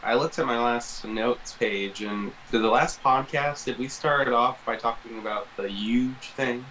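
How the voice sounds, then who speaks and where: A man's voice: medium pitch, unhurried, pushed and bright, a little hiss or hum, rough recording. A man co-hosting a podcast, chatting casually in a laid-back, loose way. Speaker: podcast co-host. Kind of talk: casual chat.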